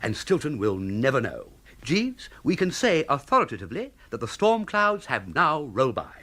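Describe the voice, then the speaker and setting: An actor playing a breezy, idle upper-class English young gentleman in a comic period radio drama, plummy and exclamatory. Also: mid-range voice, huge pitch swings, moderate pace, full character voice. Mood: contented.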